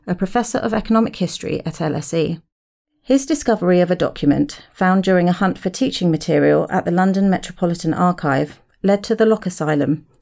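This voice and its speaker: A woman's voice: lowish voice, creaky, a little mellow. A woman presenting a podcast, with scripted narration and interview questions.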